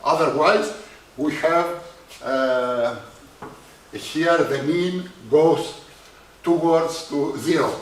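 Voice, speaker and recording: A man with a Greek accent giving a university lecture on probability, halting often with "uh" in non-native English. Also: mid-range voice, slight background noise. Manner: halting, many uh fillers, non-native phrasing. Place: lecture hall. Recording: echoey lecture hall from far off.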